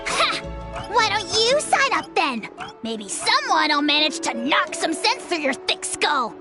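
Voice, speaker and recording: A tiny self-proclaimed dragon lord from a fantasy game, very high and wildly swooping in pitch. Bombastic and scornful, the creature hurls insults over prominent music. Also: female voice, very animated, unhurried, full character voice. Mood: mocking. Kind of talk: video game voice acting.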